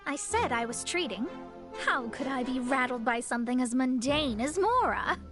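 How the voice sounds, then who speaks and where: Proud young astrologist: high, lofty, formal, over game music. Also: female voice, expressive, moderate pace. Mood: haughty. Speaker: game character. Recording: game audio under music.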